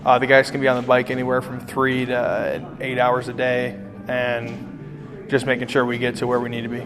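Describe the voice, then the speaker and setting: A man's voice: medium pitch, completely flat delivery, moderate pace, music in the background. A young man giving unscripted interview answers as a member of a charity team. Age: young adult. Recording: reverberant hall.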